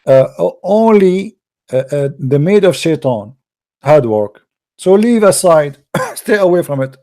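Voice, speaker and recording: A man's voice: a little high, expressive, unhurried, dark tone. A man hosting a live debate stream, lecturing in an emphatic, argumentative way. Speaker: livestream debate host. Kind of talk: lecturing religious debate talk.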